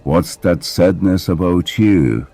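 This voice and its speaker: A man playing an undead father in an audio drama, mockingly tender and menacing, with a slow, taunting, gravelly delivery. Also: low voice, warm tone, exaggerated voice.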